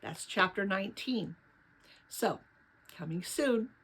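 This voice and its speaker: A woman narrating a children's novel aloud in an expressive storybook style. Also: mid-range voice, slow pace, husky, rough recording.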